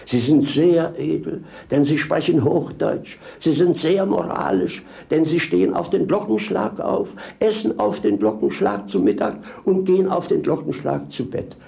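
Swooping, high-voiced garrulous fool. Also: male voice, animated, moderate pace, gravelly, hardly any pauses, dark tone. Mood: showman patter. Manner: theatrical, rambling, self-mocking patter. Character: garrulous, mischievous fool presenting automatons like a showman. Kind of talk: German radio play acting.

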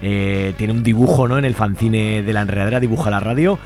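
A man's voice: fairly low pitch, a little fast, a little mellow, soft background music. A man with an Aragonese Spanish accent hosting a casual radio interview, chatty and a little self-deprecating. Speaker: radio host.

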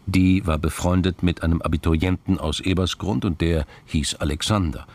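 A man narrating a scripted crime drama in a gravelly voice, measured and understated. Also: low voice, steady tone, darkish tone.

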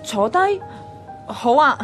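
A woman's voice: on the high side, takes it slowly, faint music behind. A woman playing an anxious, defensive single office worker in a Hong Kong Cantonese radio drama, speaking in an emphatic, plaintive way. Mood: shy, flustered. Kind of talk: radio drama dialogue with narration.

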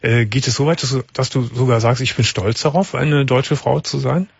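Male late-night radio host, calm and affirming, husky tone, dry dull radio sound. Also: medium pitch, moderate pace, no room sound. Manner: calm, affirming with frequent short agreements.

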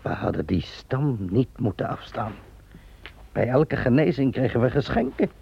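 An actor playing a blunt, dismissive pond keeper in a radio play. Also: male voice, mid-range voice, big pitch swings, moderate pace, a little hiss or hum. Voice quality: gravelly, husky. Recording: dull lo-fi recording.

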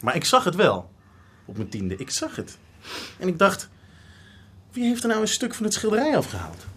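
A man's voice: sits high, wildly swooping pitch, normal speed, a bit bright, slight background noise, full character voice. A man playing a young art history student, obsessive and teasing, in a radio drama. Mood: obsessive, animated. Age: young adult.

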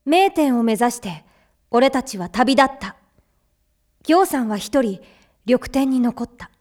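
An earnest young anime hero, speaks in a high boyish voice. He is friendly and sincere, with the clear intent of a boy on a journey. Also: lively intonation, unhurried, a little mellow. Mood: narrating, resolute. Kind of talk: Japanese anime voice acting.

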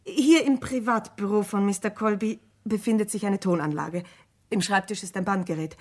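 A woman's voice: medium pitch, close to an everyday voice. Composed female secretary played by an actress. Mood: reluctant, formal. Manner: formal, careful, deliberate. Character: composed, precise female secretary answering police. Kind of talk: German radio crime play acting.

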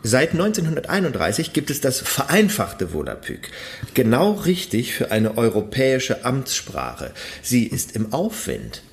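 Eager, animated academic. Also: male voice, mid-range voice, a little slow, vocal fry, exaggerated voice. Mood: eager, defensive. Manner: earnest, insistent salesmanship. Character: eager, persistent male academic petitioning for funding. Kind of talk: radio play acting.

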